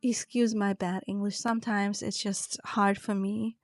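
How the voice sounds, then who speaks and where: A woman's voice: mid-range voice, little pitch movement, a little slow, no echo, clean studio sound. A woman with a German accent, a native German speaker, explains craft steps casually and unhurriedly as a tutorial host, correcting herself as she goes. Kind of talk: step-by-step craft instruction, casual voice-over. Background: background music.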